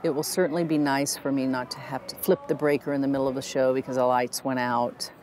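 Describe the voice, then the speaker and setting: A woman's voice: rumbling low, flat delivery, fast, darkish tone. A middle-aged woman, a theater director, talking earnestly in a documentary interview, with a few restarts. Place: theater lobby, indoors.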